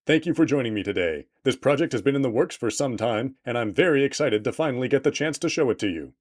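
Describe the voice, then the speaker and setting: A man's voice: medium pitch, expressive, fast. A man reading scripted promotional narration as a game creator presenting an introduction, with a playful, tongue-in-cheek manner.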